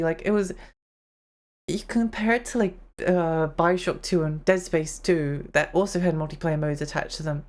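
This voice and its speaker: A woman's voice: on the low side, darkish tone. A young woman streamer chatting casually on a live stream, her voice tired and strained, rambling and giggling as she talks.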